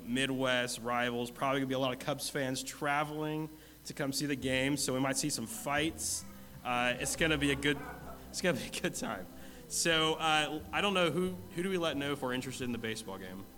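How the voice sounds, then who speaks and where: A man's voice: medium pitch, bright, forceful tone. A man with a stuffy, congested nose giving church announcements in a casual, self-deprecating way, then leading a spoken prayer. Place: church sanctuary. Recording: long hall reverb.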